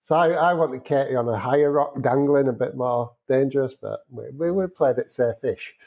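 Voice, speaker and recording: Yorkshire man, broad dialect, relaxed guest on a chat podcast, self-mocking storyteller. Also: medium pitch, normal speed, lo-fi sound. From Yorkshire, England. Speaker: wedding photographer guest.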